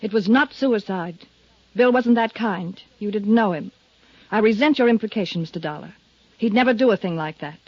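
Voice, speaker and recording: An actress playing a guarded, touchy widow in a 1950s radio drama, with a hesitant, defensive, subdued delivery. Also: female voice, mid-range voice, moderate pace, dry recording, lightly acted. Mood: indignant. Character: guarded, touchy young widow, former chorus entertainer. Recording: muffled 1950s radio recording.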